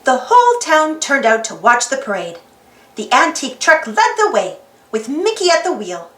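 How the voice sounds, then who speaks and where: A woman reading a children's storybook aloud in an animated narrating style. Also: high-pitched, moderate pace. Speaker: storybook reader. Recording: roomy, slightly distant mic.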